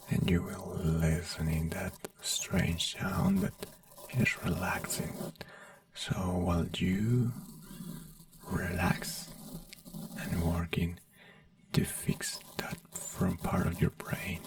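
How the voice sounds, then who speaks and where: An ASMR creator in a doctor roleplay, whispering softly in slow, soothing, simple reassuring phrases. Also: deep voice, gravelly, no echo, far from the mic.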